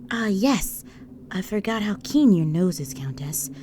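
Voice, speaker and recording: A woman's voice: medium pitch, big pitch swings, measured pace, dark tone, a little hiss or hum, voice pushed up. A woman voicing a deferential human guard serving a vampire countess, formal and earnest, in a scripted audio roleplay. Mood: dutiful, reporting.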